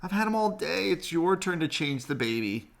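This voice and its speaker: Man doing an exasperated storybook mom, pitched up. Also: big pitch swings, moderate pace, vocal fry. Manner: exasperated, insistent. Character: exasperated mom, weary and fed up.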